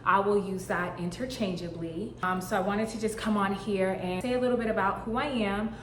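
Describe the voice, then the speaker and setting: A woman's voice: mid-range voice, fairly even intonation, a little fast, a bit bright, slight background noise. A young woman from Boston, founder of a fashion brand, talking casually and playfully to the camera with plenty of fillers. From Boston, Massachusetts. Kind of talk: casual vlog talk to camera. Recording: echoey room with faint ambience.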